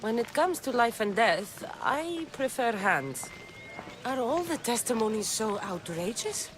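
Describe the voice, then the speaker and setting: An actress playing a determined young Greek mercenary in a video game, direct, dry and sceptical. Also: female voice, a little high, big pitch swings, measured pace, noisy background. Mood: impatient, dry. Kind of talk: video game dramatic dialogue.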